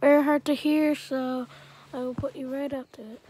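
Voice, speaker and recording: A woman with a stuffy voice from a cold, chatting casually as she reviews a music game at home, often clearing her throat. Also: sits high, level tone, moderate pace, warm tone, slight background noise.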